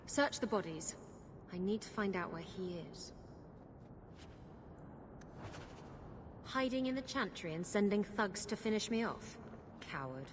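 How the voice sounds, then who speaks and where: A woman's voice: mid-range voice, expressive, moderate pace, background noise, close to an everyday voice. A woman playing a brash, unrepentant pirate captain in a video game, wry, flippant and defiant. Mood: grim, vengeful. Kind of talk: video game character dialogue. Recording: loud music behind the voice.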